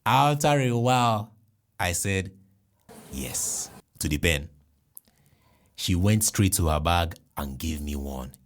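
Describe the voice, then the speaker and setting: A man with a Nigerian accent, a podcast host telling a comic personal story in an animated, jokey way with exclamations. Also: low-pitched, a little slow.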